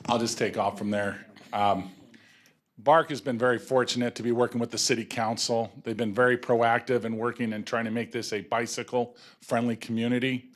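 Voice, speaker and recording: A man's voice: medium pitch, level tone, moderate pace. Earnest local man, cycling advocate, public comment at council. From local resident of the city. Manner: earnest, proud, loosely structured. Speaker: bicycle advocacy group representative. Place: city council chambers.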